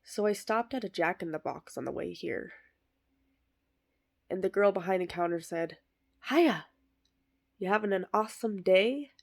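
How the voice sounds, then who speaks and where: A woman voicing a bitter, sardonic middle-aged male horse actor, delivering a comic eulogy monologue in a deadpan, wry way. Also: mid-range voice, moderate pace, creaky, long pauses. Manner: deadpan, self-deprecating, wry.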